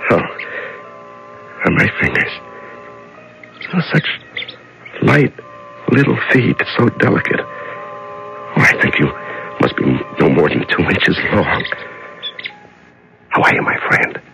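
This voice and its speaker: An actor playing a frightened, lonely man locked in the dark, muttering haltingly to himself in a 1970s radio drama. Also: sits low, big pitch swings, gravelly, dull audio, exaggerated voice. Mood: tender, fragile calm. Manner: halting, muttering to himself, swinging from whispers to cries. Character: frightened lonely man locked in solitary darkness, clinging to sanity. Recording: vintage radio drama recording with music.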